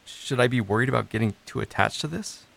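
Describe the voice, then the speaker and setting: A man's voice: mid-range voice, quick pace, creaky, a bit bright, dry recording. An actor playing a despairing but earnest man in therapy, speaking hesitantly and vulnerably in a scripted audio drama. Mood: subdued, tentative. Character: despairing, self-doubting, earnest male therapy client. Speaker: actor in a fiction podcast.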